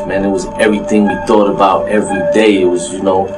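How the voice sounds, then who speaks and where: A man's voice: low-pitched, flat delivery, fast. A man reminiscing in slangy casual speech in a documentary clip. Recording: documentary clip with music under it.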